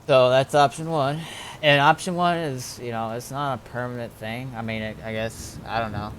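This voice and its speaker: A man talking casually on a game stream, thinking things through aloud in a halting, deliberating way. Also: medium pitch, fairly even intonation, fairly bright tone, slight background noise. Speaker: streamer and roleplay gamer.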